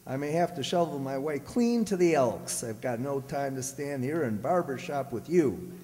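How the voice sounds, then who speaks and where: An actor playing a grumpy, blustering, scheming husband, folksy and wisecracking, in an old-time radio comedy. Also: male voice, medium pitch, animated, moderate pace, dark tone, lightly acted. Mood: grumbling. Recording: very reverberant hall stage recording.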